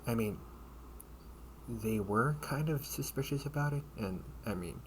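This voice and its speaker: A man playing a protective older brother and taunting captor in an amateur audio roleplay, sardonic and menacing, with casual, halting delivery. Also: mid-range voice, expressive, close to an everyday voice. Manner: casual, halting, mocking, breathy asides. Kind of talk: amateur audio roleplay acting, one-sided dialogue. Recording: noisy amateur home recording.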